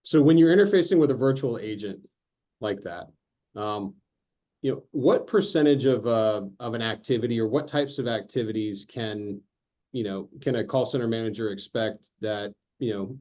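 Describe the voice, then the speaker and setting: Host guy, mellow low-ish voice, bit dull lo-fi audio, hesitant questions full of you know. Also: moderate pace. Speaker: interview host. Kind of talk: business interview.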